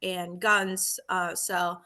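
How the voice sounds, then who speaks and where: A woman from Argentina, a police officer in her thirties, telling her story in a relaxed, chatty podcast interview. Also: on the low side, very slow.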